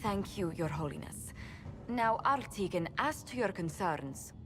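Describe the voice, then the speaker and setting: An actress playing an excitable woman leader who speaks brightly and eagerly in a game cutscene. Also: mid-range voice, measured pace, noisy background, close to an everyday voice. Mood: excited.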